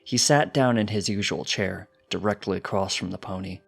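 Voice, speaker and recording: A man's voice: sits low, normal speed, creaky, husky, soft background music. A man reading a scripted fiction story as its narrator.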